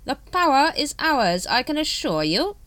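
A woman voicing a smooth, domineering male cult grand master in a storybook, pompous and assured. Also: high-pitched, very animated, normal speed, a bit bright, dry recording, voice pushed up. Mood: smooth, commanding.

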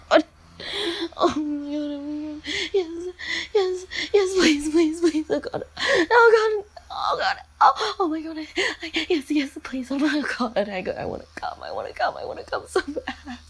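Very high, slow, breathy young woman. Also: sing-song.

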